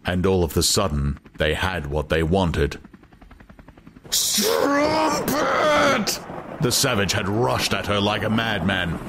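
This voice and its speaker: A man's voice: low-pitched, normal speed, few pauses. A man reading literary fiction as a scripted, dramatic audiobook narration, with noise behind him.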